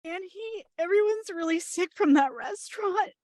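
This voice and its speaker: A woman's voice: very high voice, expressive, normal speed, a little mellow, no room sound, studio quality. A woman acting a desperate, mortified bride-to-be in a comedy table read, plaintive and blunt. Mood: panicked.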